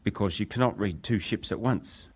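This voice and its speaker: Seaman witness, low voice, clipped, respectful, defensive, dramatised inquiry testimony. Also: moderate pace, slightly rough. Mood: defensive, firm. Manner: clipped, respectful, matter-of-fact. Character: young British ship's wireless operator, respectful but defensive witness. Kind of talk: dramatised reading of hearing testimony.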